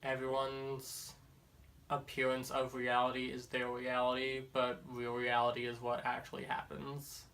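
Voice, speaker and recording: A man's voice: mid-range voice, monotone, unhurried, fairly bright tone. A young man of eighteen giving terse, brief interview answers. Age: young adult.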